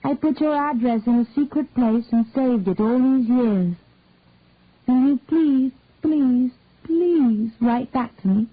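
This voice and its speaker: A young woman pleading, her voice pitched high above the reader's own as a woman narrator plays her. It is carried on a narrow phone-like band, dry and close. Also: slow.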